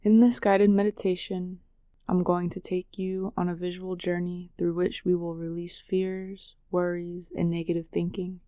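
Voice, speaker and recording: A woman narrating a guided meditation in a soothing, measured way with long pauses. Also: on the low side, little pitch movement, mellow, no room sound, clean studio sound.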